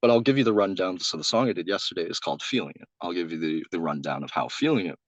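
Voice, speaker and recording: Young guy with a deep loud voice, rambly podcast guest, dry close sound. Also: expressive, fast talker, no echo. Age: young adult. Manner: rambling, many restarts and "like". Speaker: singer-songwriter guest. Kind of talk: casual interview chat.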